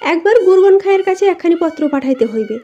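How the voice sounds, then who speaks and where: A woman plays a young storybook noblewoman, imperious and secretive. She speaks in a high, soft-toned voice with short, insistent phrases, recorded dully. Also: expressive, fast talker. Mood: determined. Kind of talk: audiobook character reading. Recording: dull, lo-fi voice recording.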